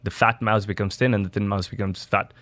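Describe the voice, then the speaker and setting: A man's voice: fairly low pitch, little pitch movement, brisk, a bit bright, no room sound. A man from Dubai, a studio guest, answering interview questions in a loose, conversational way with restarts and fillers. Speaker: studio guest, biohacking entrepreneur.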